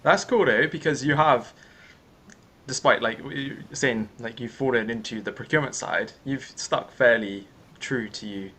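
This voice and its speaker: Young male host, husky, chatty and easygoing in his questions. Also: mid-range voice, measured pace, bright, forceful tone. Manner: chatty, laughs along, loose questions. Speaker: podcast host. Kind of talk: casual interview chat.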